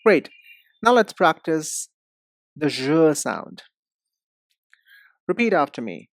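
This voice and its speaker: A male English teacher with an Indian accent speaks slowly and deliberately. He enunciates each sound with care, as in a repeat-after-me drill. The sound is dry and close, with little room to it. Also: on the high side, big pitch swings, no room sound.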